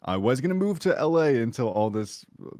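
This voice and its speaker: A man with a smooth, buttery voice chatting casually as a guest on a podcast about voice acting. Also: mid-range voice, sing-song, a little fast, a little mellow, no echo, studio quality.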